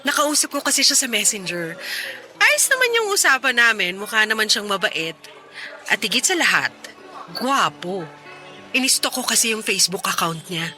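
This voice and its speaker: A very bright, lively smitten young-sounding Filipino woman in a radio drama. She is coy and playful with her best friend, her pitch swinging freely, with music behind her. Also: moderate pace. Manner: bubbly, coy, playful, girlish. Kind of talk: Tagalog radio drama dialogue. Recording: music bed behind the dialogue.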